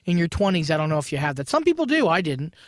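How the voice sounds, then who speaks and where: A man's voice: fairly high pitch, very animated, fast talker, no echo, studio quality. A man co-hosting a radio show, teasing his co-host in profane, mock-stern banter.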